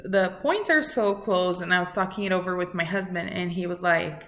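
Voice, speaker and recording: Woman, 30s, unhurried and earnest student intro, lowish pitch, bright tone, lots of "um"s. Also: slight background noise. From Brazil. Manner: earnest and measured, with many pauses and fillers. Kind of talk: recorded self-introduction for a class.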